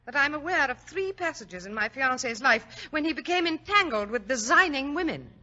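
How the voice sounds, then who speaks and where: Haughty high-voiced young lady. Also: animated, normal speed, sharp tone. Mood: indignant. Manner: formal, haughty, precise. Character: haughty, icy, stubborn young upper-class woman. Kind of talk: 1940s radio acting. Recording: dull lo-fi old radio recording.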